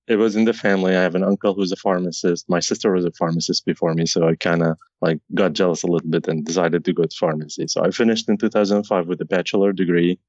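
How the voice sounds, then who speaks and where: A man's voice: deep voice, a little mellow, dry recording, muffled recording. A man in his thirties from Syria, a pharmacist answering podcast interview questions in a conversational way, often restarting his sentences. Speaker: pharmacy informaticist guest.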